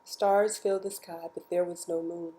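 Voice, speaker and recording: A woman reading a bedtime story aloud in an expressive, measured storytelling manner. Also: fairly low pitch, poor audio. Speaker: storybook reader. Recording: music behind the voice. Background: music.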